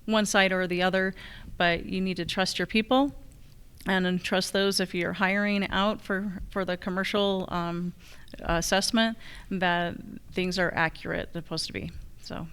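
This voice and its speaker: Female candidate, slightly low and very clean voice, halting forum delivery. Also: flat delivery, a bit bright, clear, resonant tone. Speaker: challenger candidate for county assessor. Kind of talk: forum answers. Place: live public forum on a college campus.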